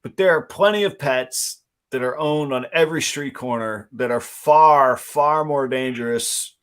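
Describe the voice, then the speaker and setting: A man's voice: mid-range voice, a little slow, fairly bright tone, clean tone. A man in his forties talks casually as a livestream guest. He rambles and reflects, often poking fun at himself, in an ordinary mid-range voice. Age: 40s. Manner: rambling, self-deprecating, reflective. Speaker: guest breeder.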